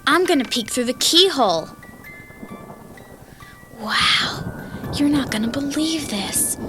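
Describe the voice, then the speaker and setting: A woman's voice: high-pitched, expressive, slow pace, pushed and bright, soft background music, full character voice. An actress playing a bold, curious young girl in a children's horror audio drama, with an eager, exclamatory delivery. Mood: amazed.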